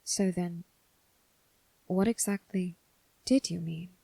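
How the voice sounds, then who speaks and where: A woman voicing a coolly curious, formal demon servant, softly teasing and half-whispering in an ASMR roleplay. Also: fairly low pitch, dry recording, heavily acted, poor audio. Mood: teasing, knowing. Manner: soft, measured, breathy, half-whispered.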